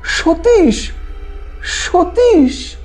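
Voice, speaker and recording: Man acting a jumpy, high-voiced friend. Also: sing-song, very slow, darkish tone, exaggerated voice. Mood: terrified. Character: sceptical, easily frightened male friend. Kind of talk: acted dialogue in an audio story. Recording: music behind the voice.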